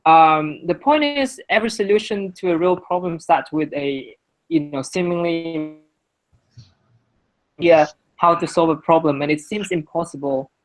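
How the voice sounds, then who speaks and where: A man's voice: fairly high pitch, a little slow, low-quality recording. A young man, a student presenting his project to a class and stopping often to restart his sentences. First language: Vietnamese. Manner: hesitant, many restarts and fillers. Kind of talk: talk to a class with questions after.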